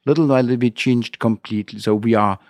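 A middle-aged man with a German accent, telling his story as an interview guest in halting, non-native English phrasing. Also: medium pitch, steady tone, darkish tone, dry recording, clean studio sound.

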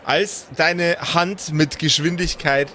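A man's voice: on the high side, steady tone, takes it slowly, pushed and bright, a little hiss or hum. A man, speaking colloquially as the game master of an improvised tabletop game. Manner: colloquial, swears casually.

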